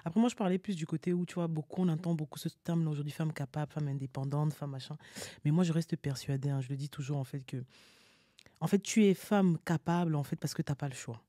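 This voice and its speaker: Woman on a talk panel, talks fast, mellow tone, pushy and slangy, dry sound. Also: low voice, no room sound. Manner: insistent, colloquial, challenging. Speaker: panel guest. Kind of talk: animated casual debate.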